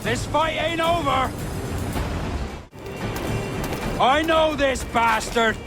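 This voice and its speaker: An actor playing a stern pirate captain in a video game, speaking in formal, old-fashioned seaman's dialogue. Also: extremely high pitch. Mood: fierce, rallying. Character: gruff stern pirate captain turned loyal Templar. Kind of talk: dramatic period seafaring dialogue.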